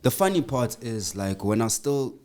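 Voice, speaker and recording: A man's voice: mid-range voice, animated, moderate pace, a little mellow. A young man from South Africa, a hip-hop artist guest on a podcast, telling his story in a laid-back way with fillers. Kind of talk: casual podcast chat.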